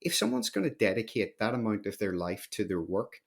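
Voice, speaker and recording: A man's voice: lowish voice, huge pitch swings, brisk, vocal fry, breathy edge. A man with a Northern Irish accent telling his story conversationally and emphatically as a podcast guest. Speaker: podcast guest, company founder.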